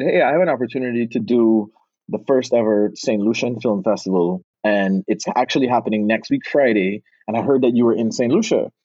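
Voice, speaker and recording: A middle-aged man with a husky, fairly low voice tells lively stories in a casual interview. The recording is dull and muffled, with no room sound. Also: dry recording. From Barbados. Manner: animated storyteller, slips into relaxed island grammar. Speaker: film director and actor.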